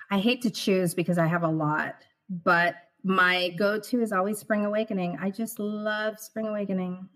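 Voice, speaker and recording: Woman, born in the Philippines, middle-aged, animated, expressive, laughs often, stage actor chatting as a guest, mid-range voice. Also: medium pitch, measured pace. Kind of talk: conversational interview.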